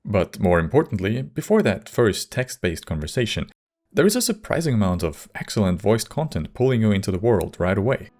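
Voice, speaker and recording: A man narrating a scripted video essay as host, measured, with wry asides. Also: lowish voice, very animated, a little mellow. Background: faint music.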